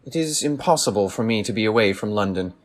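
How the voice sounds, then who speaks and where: A man playing a shrewd, commanding gentleman detective in a radio play, formal, deliberate and probing. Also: lowish voice, expressive, lightly acted. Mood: measured.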